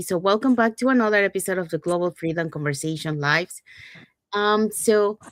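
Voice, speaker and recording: A woman's voice: on the low side, no echo. A woman hosting a live online talk show, reading scripted introductions and thanking her co-hosts. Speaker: host.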